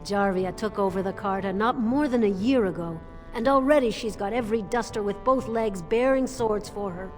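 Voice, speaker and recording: A woman's voice: medium pitch, normal speed, close to an everyday voice. An actress playing a streetwise old beggar woman in a fantasy game, clipped, folksy and wheedling, in a reverberant space. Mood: wary, matter-of-fact. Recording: reverberant space, faint music.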